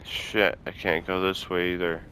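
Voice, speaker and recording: Man, lowish pitch, bright edgy tone, casual gaming commentary, informal, sweary, muttered reactions. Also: little pitch movement, unhurried, a little hiss or hum. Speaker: gaming video host. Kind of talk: casual game playthrough commentary.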